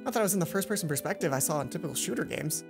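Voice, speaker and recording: A woman with a fairly low voice reads a visual novel aloud over clear background music. She hosts casually, slipping chatty, playful asides in between the narration. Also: animated, rapid-fire. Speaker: let's play host. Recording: music behind the voice.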